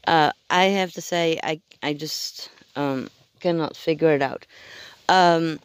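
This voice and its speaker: An adult vlogger speaking hoarsely because they are sick, talking in a halting, hesitant way and searching for words during a casual video check-in. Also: medium pitch, no echo.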